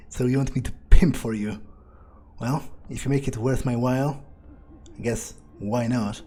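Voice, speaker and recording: A man playing a game in character, thinking aloud in a halting, musing way with casual swearing. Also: mid-range voice, steady tone, dark tone, husky. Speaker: let's player speaking in character. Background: game music.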